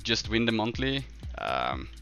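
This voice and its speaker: A man from northern Sweden with a Swedish accent, chatting casually as a game livestreamer and answering viewers in a halting way, thinking aloud. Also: on the low side, big pitch swings, sharp tone, highs cut off, faint music behind.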